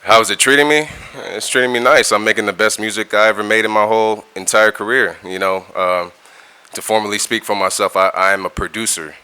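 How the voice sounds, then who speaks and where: A middle-aged man speaks in a very low, resonant voice. He talks in a relaxed, slangy way, looping back over words as he goes. His tone is forceful and crisp. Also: fairly quick. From California, United States. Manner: laid-back and slangy, repeats words and restarts. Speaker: music producer guest. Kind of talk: casual interview talk.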